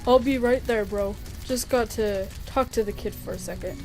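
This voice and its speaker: A woman's voice: a little high, moderate pace, a little mellow, close to an everyday voice. A woman voicing a tired, easygoing male skeleton older brother in a comic dub, speaking casually. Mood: casual, placating. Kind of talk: animated comic dub acting. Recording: music behind the voice.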